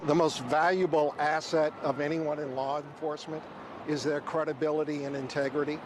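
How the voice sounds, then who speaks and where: A man's voice: fairly high pitch, level tone, fairly quick, background noise. A man giving formal interview comments as a watchdog official. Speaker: watchdog group president being interviewed.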